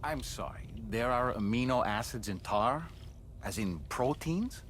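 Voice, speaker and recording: A man's voice: mid-range voice, expressive, measured pace, a bit bright, a little hiss or hum. An actor playing a thoughtful male crew member who speaks carefully and asks questions in a game cutscene.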